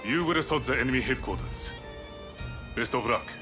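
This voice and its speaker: With music clearly behind him, an actor voices a formal male envoy in a samurai video game. He is mid-pitched, brisk and commanding. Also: lightly acted. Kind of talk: video game cutscene acting.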